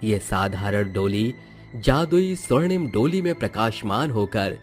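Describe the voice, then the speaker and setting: A man voicing a calm, majestic male god who speaks slowly, solemnly and reassuringly in a Hindi moral tale. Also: lowish voice, faint music behind. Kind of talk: Hindi moral storybook acting.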